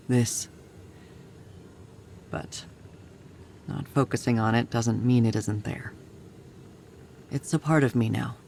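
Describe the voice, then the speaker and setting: A woman's voice: rumbling low, darkish tone, heavily acted. An actress playing a battle-weary, resilient woman soldier in a video game, speaking plainly and reflectively with a wry edge. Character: battle-weary, resilient female soldier, tough but tender. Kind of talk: dramatic video game cutscene acting. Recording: music or faint ambient noise behind.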